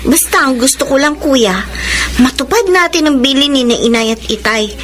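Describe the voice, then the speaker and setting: Very high-pitched sweet little sister. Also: female voice, animated, moderate pace, a bit bright, faint music behind. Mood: earnest, tender. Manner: sweet, affectionate, girlish. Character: cheerful, considerate young student, devoted little sister. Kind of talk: Filipino radio drama acting.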